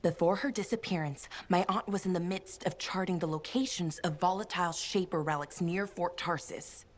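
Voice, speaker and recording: An actress playing an impulsive, spirited young female pilot in a video game, talking brashly and quick to indignation. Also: on the low side, lightly acted.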